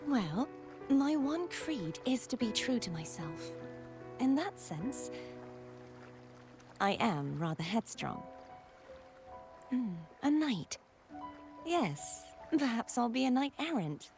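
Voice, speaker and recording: Game role: earnest young maiden, headstrong and formal. High-pitched, measured, set over music. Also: female voice, big pitch swings, heavily acted. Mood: reflective. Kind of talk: dramatic video game voice acting. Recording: music behind the voice.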